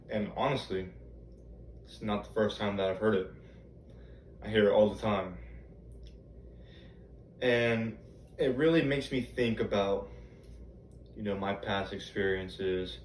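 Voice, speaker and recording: Guy acting as an earnest american motivational teacher, lowish voice, rambling pep talk monologue, distant mic. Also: natural voice. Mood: earnest, reflective. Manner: rambling, emphatic, colloquial. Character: earnest male motivational teacher advising students. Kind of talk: self-written monologue, motivational speech. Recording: echoey room, distant mic, background noise.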